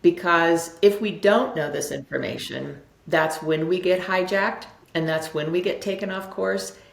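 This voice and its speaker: A woman with a slightly low voice talks like a motivational coach being interviewed. She tells stories conversationally and leans on repeated phrases to drive points home. The sound is roomy and echoing. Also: unhurried, vocal fry. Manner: emphatic, repeats phrases for effect. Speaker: interview guest, self-development coach. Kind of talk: conversational motivational explanation with anecdotes.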